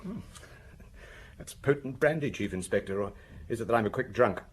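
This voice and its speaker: A man acting a troubled, tipsy murder suspect confessing haltingly in a British radio drama, with a British accent. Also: medium pitch, expressive, husky. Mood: maudlin, confessional. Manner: hesitant, halting, rambling.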